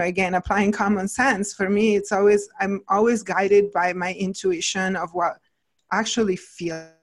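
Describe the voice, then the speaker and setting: A middle-aged woman with an Italian accent, talking casually as a guest nutritionist with lots of "like" and "you know". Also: mid-range voice. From Italy. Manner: chatty, many fillers like "like" and "you know". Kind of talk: casual interview talk.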